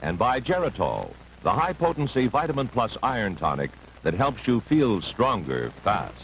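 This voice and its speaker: A man's voice: mid-range voice, sing-song, normal speed. A man reading a 1960s television sponsor announcement as a commercial announcer. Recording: noisy vintage broadcast recording.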